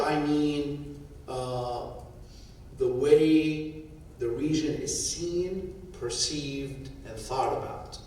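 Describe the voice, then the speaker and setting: A man's voice: medium pitch, darkish tone, a little hiss or hum. A middle-aged man from Syria speaking without notes on a conference panel, thoughtful and given to restarting his sentences. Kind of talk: unscripted panel talk. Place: conference hall. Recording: echoey hall, from far off.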